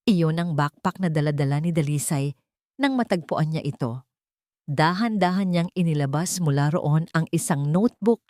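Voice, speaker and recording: A woman reading a scripted dramatic story as narrator, with deliberate, theatrical phrasing. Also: low voice, a little mellow, no room sound, studio quality.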